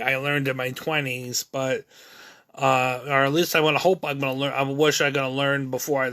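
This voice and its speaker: Man, mid-thirties, video creator: a bright, forward voice, candid and self-critical, and stumbling through many restarts. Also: mid-range voice. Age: 30s. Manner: halting, many restarts and fillers. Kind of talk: rambling personal monologue.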